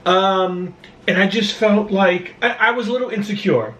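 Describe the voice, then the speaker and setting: A man with a Brooklyn accent chatting casually on a vlog, talking haltingly with frequent restarts. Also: high voice, steady tone, a bit bright, roomy sound, far from the mic, rough recording. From Brooklyn, New York. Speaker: vlogger. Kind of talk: casual chat. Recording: voice a bit off-mic, faint room noise.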